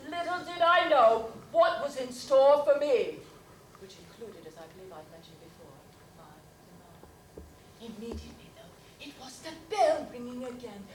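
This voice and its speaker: A woman's voice: sits high, lively intonation, normal speed. A woman performing a comic monologue as a prim elderly widow with genteel, mannered speech and frequent laughter. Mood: prim, giggly. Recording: roomy, distant mic with background noise.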